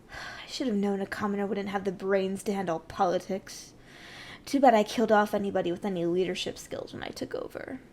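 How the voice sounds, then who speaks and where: A woman's voice: medium pitch, fairly even intonation, a little fast, darkish tone, lightly acted. A woman playing a haughty, condescending evil sorceress queen in an ASMR roleplay, with an imperious, theatrical and mocking delivery. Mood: irritated, imperious.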